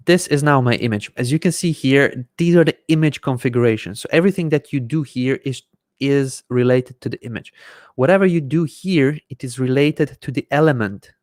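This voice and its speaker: A man in his thirties whose first language is Hungarian, hosting a live Q&A and explaining software step by step from a desk microphone in a small room. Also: mid-range voice, no room sound, studio quality. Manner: explains step by step while clicking through software. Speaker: host answering viewer questions. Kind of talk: live Q&A with screen-share demonstration. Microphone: desk microphone in front of face.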